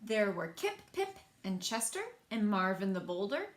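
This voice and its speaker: Animated woman reading to children, echoey room. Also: mid-range voice, moderate pace. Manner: animated, addresses young listeners directly. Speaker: children's librarian reading picture books aloud. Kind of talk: expressive children's read-aloud with questions to listeners.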